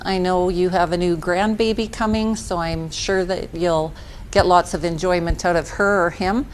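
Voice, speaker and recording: Female teacher, casual well-wishing, lower register, slight background noise. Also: steady tone, normal speed, rough recording. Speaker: teacher giving a colleague a tribute. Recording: faint background rumble.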